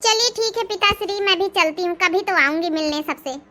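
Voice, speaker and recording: A woman's voice: extremely high pitch, fairly quick, pushed and bright, slight background noise. A woman voicing a bold, bossy young woman in a cartoon comedy, with an assertive, theatrical, scolding manner. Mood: firm, friendly. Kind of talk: cartoon comedy drama dialogue.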